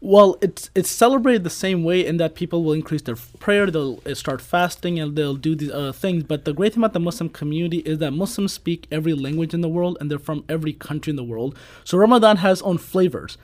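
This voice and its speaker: A man's voice: on the high side, quick pace, hardly any pauses, darkish tone. A man from the United States speaking as an advocate in a radio interview, explaining things fluently and articulately. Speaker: advocacy group director, panel guest. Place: radio studio.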